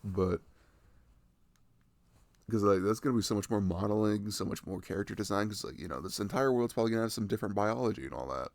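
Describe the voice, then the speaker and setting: A man's voice: on the low side, fast talker, creaky, dry recording. A young adult man chatting casually and enthusiastically about video games in a rambling, slangy way, close to the microphone.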